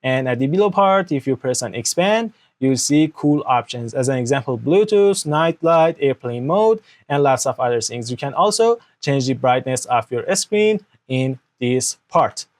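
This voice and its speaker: A man's voice: mid-range voice, animated, normal speed, few pauses. A young man whose first language is Persian, explaining a computer walkthrough step by step at his desk into an external microphone, often checking with "okay?". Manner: explanatory, frequent "okay?" checks, non-native grammar. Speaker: tutorial host. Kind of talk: screen-recorded software tutorial. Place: indoor room at a desk.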